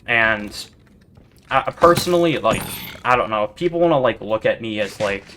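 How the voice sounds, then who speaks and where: A man giving rambling, monotone commentary over gameplay, full of fillers and restarts, as a gaming video creator. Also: fairly low pitch, moderate pace. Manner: rambling, self-described yapper with many fillers and restarts. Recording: steady background noise.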